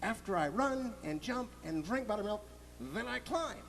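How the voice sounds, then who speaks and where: A man as a cheeky high-pitched little squirrel. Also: lively intonation, normal speed, vocal fry, fairly bright tone, long reverb tail, slight background noise, exaggerated voice. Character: small quick-witted cheeky male squirrel trickster. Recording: full orchestra music behind. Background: orchestral music.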